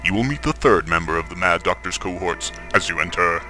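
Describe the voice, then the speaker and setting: A man's voice: low-pitched, expressive, brisk, pushed and bright. A man narrating in a formal, portentous, mock-serious old-time radio announcer style. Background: music.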